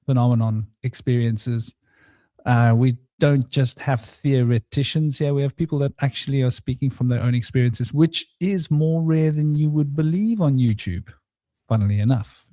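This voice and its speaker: A man hosting a casual spiritual round-table chat, rambling and relaxed, with a somewhat droning voice and many fillers. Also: mid-range voice, no echo. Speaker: host. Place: home studio.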